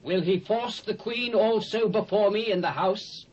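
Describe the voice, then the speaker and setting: A man's voice: high-pitched, measured pace, a little mellow. A British man playing a commanding king of an ancient empire in a scripture audio drama, speaking regally. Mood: indignant. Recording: dull cassette audio with faint noise.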